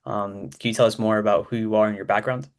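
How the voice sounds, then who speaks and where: A man hosting a podcast, introducing his guest and asking plain, measured questions. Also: lowish voice, steady tone. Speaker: podcast host. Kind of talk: interview questions.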